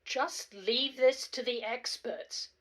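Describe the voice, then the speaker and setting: A woman's voice: fairly high pitch, slow pace, a bit bright. A woman playing a brash, determined, reckless woman zookeeper in a radio play, with an emphatic, theatrical delivery. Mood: brash, confident.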